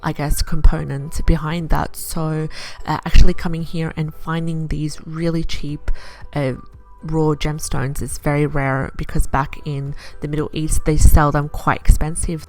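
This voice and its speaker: Chatty woman from Iraq, lowish voice. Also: little pitch movement, a little mellow, faint music behind. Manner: chatty, enthusiastic, with frequent "uh" pauses. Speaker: vlogger. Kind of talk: casual vlog narration.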